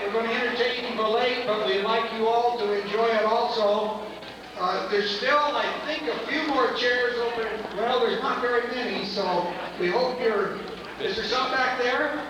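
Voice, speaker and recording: A man with a loud voice acting as emcee at a family party, seating guests and introducing the program. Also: very high-pitched, moderate pace, noisy background. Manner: addresses the crowd, seats people, introduces acts. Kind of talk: announcing to a room. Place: party hall. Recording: echoey hall, far from the mic, music.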